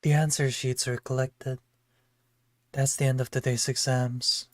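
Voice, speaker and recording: A man's voice: medium pitch, little pitch movement, unhurried, dry recording, close to an everyday voice. A man voicing a schoolteacher who closes an exam and sends students home. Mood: stern. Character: stern schoolteacher dismissing an exam.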